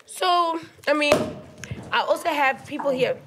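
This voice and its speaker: A woman's voice: sits high, unhurried, pushed and bright, slight background noise. A woman of about forty with a South African accent, chatting casually as a host in a blunt, teasing way. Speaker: podcast host. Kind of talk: casual, playful chat. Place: indoor lounge, on a sofa. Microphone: handheld microphone.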